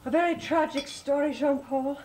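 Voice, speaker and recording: A woman's voice: high voice, fairly even intonation, moderate pace, a little mellow, slight background noise, close to an everyday voice, rough recording. An actress playing a poised, probing woman in a 1960s television soap, genteel and theatrical, with a sympathetic veneer. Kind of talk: 1960s TV soap opera acting. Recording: vintage TV soundtrack, music clearly behind.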